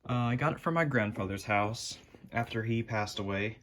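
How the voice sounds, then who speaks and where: A man's voice: lowish voice, a bit bright. A man giving a casual, informal hands-on DIY demonstration as a hobbyist. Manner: unhurried, informal. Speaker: hobbyist presenter. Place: his room at home. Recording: clearly noisy home recording with clicks.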